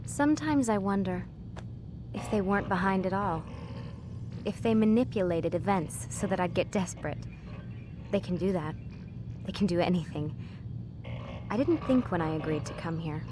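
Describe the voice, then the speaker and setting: An actress playing a young scientist in a video game, earnest, weary and guarded, speaking in a confiding, halting way. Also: female voice, lowish voice, noisy background, natural voice. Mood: troubled, confiding. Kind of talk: naturalistic game dialogue.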